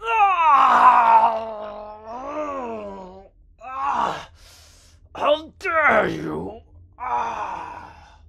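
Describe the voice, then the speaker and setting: Man acting a frantic, high-pitched villainous shadow creature. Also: sing-song, extremely slow, creaky, pauses often, bright, forceful tone, husky, heavily acted. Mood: outraged. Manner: strained, shrieking, pleading. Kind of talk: yandere ASMR roleplay acting.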